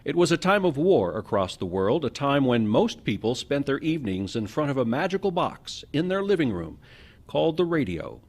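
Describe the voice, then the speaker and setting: A man's voice: medium pitch, sing-song. Theatrical male announcer with swooping intonation. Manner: theatrical, deliberate. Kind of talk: scripted radio drama introduction.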